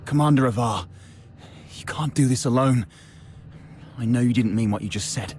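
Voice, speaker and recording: An actor playing a loyal, earnest young soldier in a video game. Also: male voice, medium pitch, normal speed, a little mellow, a little hiss or hum, close to an everyday voice. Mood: worried. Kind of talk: video game cutscene acting.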